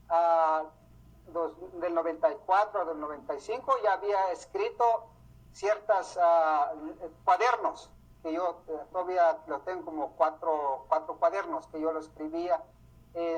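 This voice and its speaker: Man, middle-aged, Mam speaker, husky, halting with many fillers, radio recording. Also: high voice. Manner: hesitant, many fillers and restarts. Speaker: author presenting his book. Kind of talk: unscripted talk. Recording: muffled radio broadcast sound.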